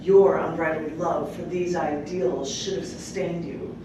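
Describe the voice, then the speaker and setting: A woman poet reading her work aloud at a live open mic, with deliberate, dramatic phrasing and casual asides. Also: deep voice, fairly even intonation. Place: public library room at a live event. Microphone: distant microphone. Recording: echoey room from far off, clearly noisy.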